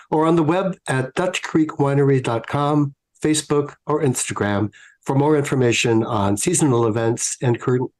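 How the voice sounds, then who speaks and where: A man's voice: mid-range voice, poor audio. A man announcing and narrating in a scripted, old-time radio show style.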